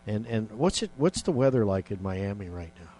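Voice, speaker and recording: A man's voice: on the low side, big pitch swings, normal speed, dark tone, no echo. A man hosting a casual radio talk show, rambling with many fillers and restarts.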